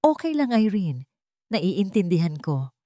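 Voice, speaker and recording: Tender young Filipino lover, voiced by a woman narrator, earnest and gentle, for romance audio drama. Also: on the low side, big pitch swings, measured pace, no room sound, clean studio sound. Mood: reassuring. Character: tender, devoted young man in love.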